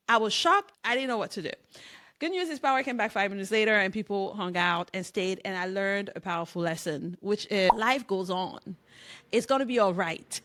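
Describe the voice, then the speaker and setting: Woman, middle-aged, from Cameroon, business coach, casual talk to camera, lively, chatty, mid-pitched voice. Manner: lively and conversational, with laughs.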